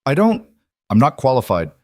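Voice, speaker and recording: A man's voice: medium pitch, wildly swooping pitch, moderate pace, dry recording, clean studio sound, pitched above the performer's own voice. A man doing a shy, timid young cleaner woman. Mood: nervous. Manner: timid, hesitant, babbling.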